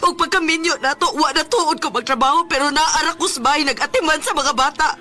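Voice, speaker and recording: A woman's voice: high-pitched, a little fast, sharp tone, soft background music. An actress playing a remorseful, middle-aged married woman in an emotional, pleading radio drama style. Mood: tearful pleading.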